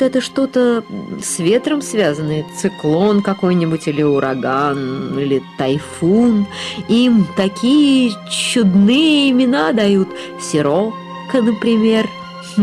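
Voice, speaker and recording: A woman voicing a mild elderly train passenger who thinks aloud in a hesitant, musing manner, in an audio story. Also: medium pitch.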